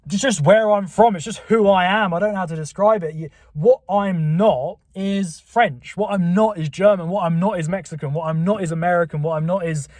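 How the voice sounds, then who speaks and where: A man's voice: high voice, brisk, hardly any pauses, darkish tone, no room sound, studio quality. A man from England with a southern English accent from Buckinghamshire, rambling to the camera from his car, with many fillers and restarts. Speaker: channel host. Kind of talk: rambling casual monologue to camera. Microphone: camera mic.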